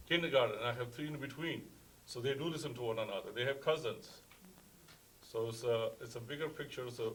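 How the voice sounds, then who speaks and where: A man from Afghanistan, a parent, giving earnest, halting public comment at a school board meeting. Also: medium pitch, a little hiss or hum, rough recording. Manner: halting, earnest, many restarts.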